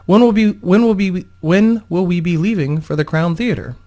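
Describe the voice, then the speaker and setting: A man's voice: high voice, moderate pace, warm tone, voice pushed up, lightly acted. A man voicing a female bodyguard and adventurer who is courteous, practical and protective, in a storybook reading. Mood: inquisitive.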